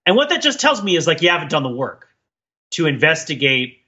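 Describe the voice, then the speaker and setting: A man's voice: a little high, big pitch swings, a little fast, bright, forceful tone, breathy edge, dull audio. A man co-hosting a podcast in casual chat, discursive, thinking aloud and often checking his points with "right?". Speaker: podcast co-host.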